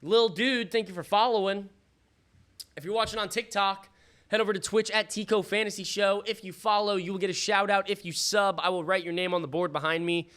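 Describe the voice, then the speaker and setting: A man hosting a live fantasy football stream in a casual, slangy, sweary way, thinking out loud, his voice starting to give out. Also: high-pitched, steady tone, fairly quick. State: losing his voice. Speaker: livestream host. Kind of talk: casual live fantasy football draft commentary with chat shout-outs.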